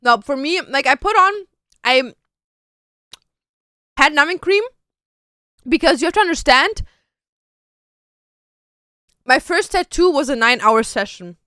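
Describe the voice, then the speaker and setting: Young woman streamer, tattoo artist, higher bright voice, dry close sound, loose and chatty. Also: animated, a little slow, long pauses, no room sound. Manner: chatty, loose, peppered with "like" and "dude". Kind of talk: casual chat answering viewer questions.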